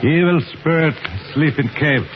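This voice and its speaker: A man's voice: a little high, a little slow, lightly acted. Man playing wary superstitious guide, few words, broken english, old radio drama, muffled and noisy. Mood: wary, foreboding. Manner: terse, broken English phrasing. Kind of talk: 1950s radio acting.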